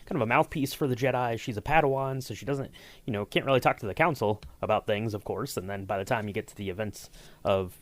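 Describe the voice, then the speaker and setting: A man hosting a podcast, asking long, searching questions in a relaxed chatty way. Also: fairly low pitch, quick pace, dry recording. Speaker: podcast host. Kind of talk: casual interview chat.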